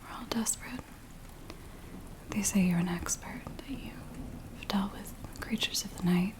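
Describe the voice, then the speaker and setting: A woman playing a frightened villager in a soft, breathy, whispered ASMR roleplay, speaking in a hushed, hesitant way. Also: mid-range voice, lightly acted. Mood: anxious, relieved. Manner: hushed, hesitant, slow storytelling. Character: frightened village woman pleading with a werewolf tracker. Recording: steady rain-like background noise. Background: rain sounds.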